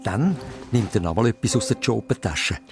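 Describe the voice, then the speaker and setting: Animated Swiss German male narrator. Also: fairly low pitch, moderate pace. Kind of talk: animated children's story narration. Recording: music behind the voice.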